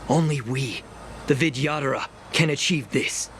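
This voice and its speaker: An actor playing a grave, self-righteous, scheming elder of a dragon race in a fantasy game, delivering formal speeches in a declamatory way. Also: male voice, medium pitch, moderate pace, a little hiss or hum, natural voice. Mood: grandiose, persuasive. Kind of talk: scripted fantasy game voice acting. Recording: loud music behind. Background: game music.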